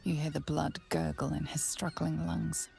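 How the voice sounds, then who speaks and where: Ominous female game narrator, heavily gravelly and mellow, hushed storytelling, faint music beneath. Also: low-pitched, very animated, moderate pace, exaggerated voice.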